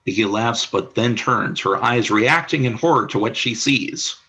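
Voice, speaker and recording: A man narrating the stage action of an amateur radio play in an even reading. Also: mid-range voice, moderate pace, slightly rough, low-quality recording.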